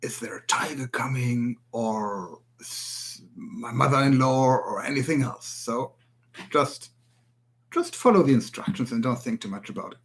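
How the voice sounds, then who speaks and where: A German-accented man presenting a language tutorial in English. His pitch sits in an ordinary middle range for a man. He speaks in an earnest way and sometimes stops to search for an English word. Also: animated, unhurried. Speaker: language teacher. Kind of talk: spoken video tutorial.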